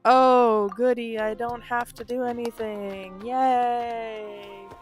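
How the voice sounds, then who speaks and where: A woman's voice: a little high, little pitch movement, slow pace. A woman live-streaming a game, talking casually and candidly. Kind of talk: casual live-stream chat over gameplay. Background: video game music.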